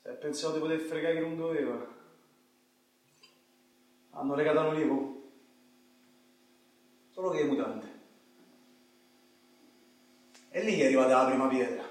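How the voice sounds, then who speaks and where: A man's voice: a little high, fairly quick, pauses often, lightly acted. An actor playing an agitated, haunted Roman street man in a radio play, with a Roman accent and intense colloquial delivery that swings in volume. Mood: shaken, grim. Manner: intense, colloquial, swinging between murmurs and shouts. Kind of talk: radio theatre monologue. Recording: very echoey, distant mic, music behind.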